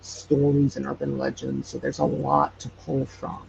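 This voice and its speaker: A man chatting casually as an interview guest, with hesitant, halting phrasing. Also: mid-range voice, monotone, creaky. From Hawaii. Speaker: podcast guest, audio drama creator. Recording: dull lo-fi sound, faint noise.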